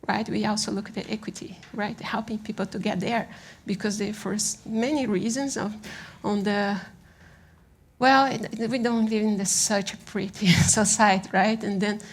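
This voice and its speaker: A Brazilian woman speaks as a presenter at a design conference. Her voice has a noticeable creak and sits at an ordinary female pitch. She talks informally and haltingly with a Brazilian accent, often seeking agreement as she goes. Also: level tone. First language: Portuguese. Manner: halting, conversational, often checks with "right?".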